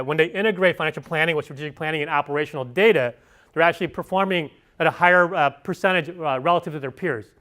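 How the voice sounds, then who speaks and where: A man with a heavy Chicago accent talks quickly through a conference session. His voice sits a little high, and his delivery is loose and full of fillers. He keeps turning to the audience with questions. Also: fairly bright tone. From Chicago area. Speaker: presenter. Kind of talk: conference presentation.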